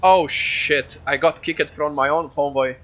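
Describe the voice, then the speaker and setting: Excitable Brazilian guy streaming, bright voice. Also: a little high, measured pace, muffled recording. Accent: Brazilian Portuguese. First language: Portuguese. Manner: excitable, chatty, swears freely. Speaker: game streamer. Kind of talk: casual live-stream chat with viewers.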